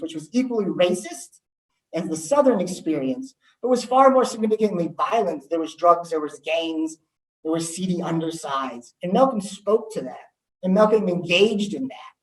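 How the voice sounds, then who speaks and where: A man's voice: high-pitched, lively intonation, moderate pace. A man, giving a candid talk to students as an assistant principal, with frequent ums. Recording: echoey room, voice from far off.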